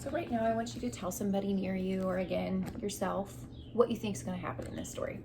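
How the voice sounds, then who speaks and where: A woman's voice: medium pitch, steady tone, fast. A young adult woman teacher explaining and asking children questions in a read-aloud lesson, recorded at home. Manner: explanatory, prompting. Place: living room at home, on a couch. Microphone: camera a short distance away. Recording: clearly noisy home room sound.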